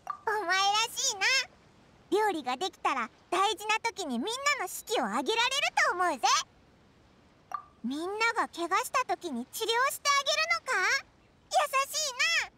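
A woman's voice: very high-pitched, very animated, normal speed, heavily acted. A woman voicing a small, childlike fairy companion in an anime game, boastful and eager, with cutesy boyish tough-talk. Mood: curious, chirpy. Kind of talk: Japanese anime game voice acting. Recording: studio voice over loud playful music.

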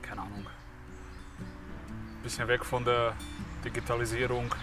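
A man's voice: medium pitch, flat delivery, measured pace, over loud music. A man in his thirties hosting a casual outdoor interview while out on a hike. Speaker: vlog host and interviewer. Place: outdoors on a mountain valley hike and at a garden table.

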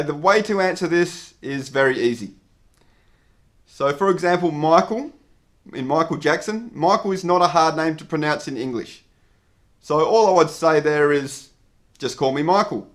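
A man in his thirties speaks straight to camera like a teacher. His voice sits a little high, and he explains each point clearly at an even, measured pace. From Australia. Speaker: English teacher. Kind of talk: scripted lesson to camera. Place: indoor studio with dark backdrop.

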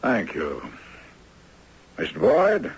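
An older actor playing a shrewd, courtly retired judge in a 1970s radio drama, dry, wry and formally articulate. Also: male voice, lowish voice, wildly swooping pitch, extremely slow, slightly rough, exaggerated voice. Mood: assured, commanding. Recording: dull, noisy 1970s radio recording.

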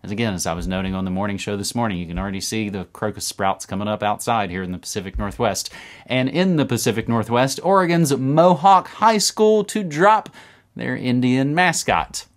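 A man in his thirties hosting a casual news roundup to camera from a room at home, animated and talking with his hands. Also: medium pitch, fairly quick. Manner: animated, talks with his hands, upbeat asides. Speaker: podcast host. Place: home room with record shelves.

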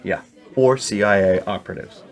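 An actor in a radio play playing a suspicious political colleague who questions probingly. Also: male voice, on the low side, normal speed, lightly acted. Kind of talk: conversational radio play acting.

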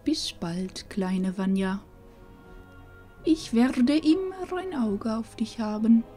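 A woman playing a loving, wise old grandmother in a fantasy audio drama, speaking solemnly and tenderly with breathy phrase endings. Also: a little high, expressive, slow, warm tone, soft background music. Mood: wistful, blessing.

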